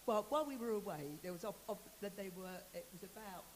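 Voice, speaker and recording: A woman's voice: medium pitch, expressive, quick pace. A woman sharing a testimony at church, rambling and breaking off mid-thought. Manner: rambling, self-interrupting, laughs often. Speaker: congregation member sharing a testimony. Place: church hall. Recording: long hall reverb with faint hiss.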